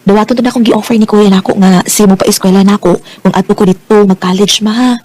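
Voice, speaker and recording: An actress playing an earnest, stubborn, heartbroken teenage daughter in a radio drama, with a Filipino Bisaya accent. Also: female voice, mid-range voice, steady tone, fast, a little mellow, close to an everyday voice. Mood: hurt. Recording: noisy radio drama track.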